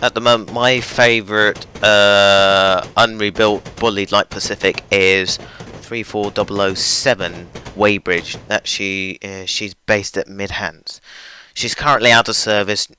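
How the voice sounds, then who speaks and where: A man's voice: lowish voice, fairly even intonation, a little slow, bright, forceful tone. A young man rambling casually through train-simulator gameplay, hesitant with many fillers, his voice strained from talking too much. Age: young adult. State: losing his voice. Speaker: gaming channel host. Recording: noisy home recording.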